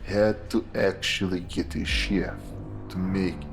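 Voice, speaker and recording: An actor playing a grim, insinuating police detective in a noir audio drama, with a deliberate, sly, theatrical delivery. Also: male voice, sits low, steady tone. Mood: ominous. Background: music.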